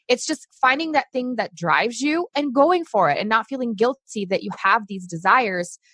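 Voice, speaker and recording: A woman's voice: a little high, expressive, moderate pace, fairly bright tone, no echo. Brazil-born woman, chatty earnest coach on a podcast, really dry mic. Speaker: podcast guest, success coach for moms. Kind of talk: casual interview chat.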